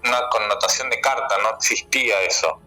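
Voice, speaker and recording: Male interview guest, Buenos Aires accent, very bright, forward voice, conversational radio delivery, faint music behind. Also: medium pitch, brisk, poor audio. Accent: Buenos Aires Argentine. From Buenos Aires, Argentina. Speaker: guest, tarot card reader and teacher.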